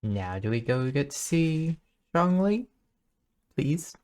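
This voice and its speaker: Casual game streamer, medium-pitched voice. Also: very animated, slow, a little mellow. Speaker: streamer playing a video game. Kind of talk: casual gameplay commentary.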